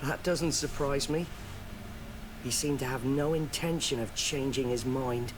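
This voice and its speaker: An actor playing a frank young captive sailor in a video game, plain-spoken and resigned. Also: male voice, medium pitch, unhurried, close to an everyday voice. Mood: frank resignation. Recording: clear background ambience noise.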